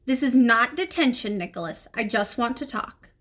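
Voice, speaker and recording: A strict schoolteacher played by a woman reading aloud speaks in a formal, measured way. She is a storybook figure who admonishes firmly, with lively rises and falls in her voice. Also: on the high side. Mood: formal, admonishing.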